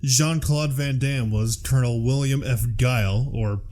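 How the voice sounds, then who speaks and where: A man's voice: mid-range voice, measured pace, darkish tone. Casual, sweary man hosting a podcast. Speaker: podcast host. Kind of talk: casual chat, reading out film trivia.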